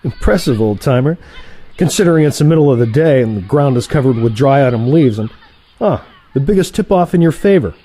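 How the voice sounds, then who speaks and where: A man's voice: mid-range voice, animated, fairly quick, warm tone, soft background music, lightly acted. An actor playing a cocky younger male assassin who banters with teasing sarcasm in a comic audio play.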